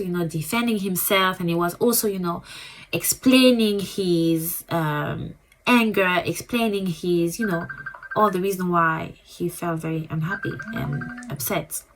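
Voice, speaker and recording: A woman with a French accent talks through a memoir she has read, in a loose and rambling way. She often repeats herself and pauses with ums, and her voice sits slightly low. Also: rough recording. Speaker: booktuber. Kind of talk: casual book review.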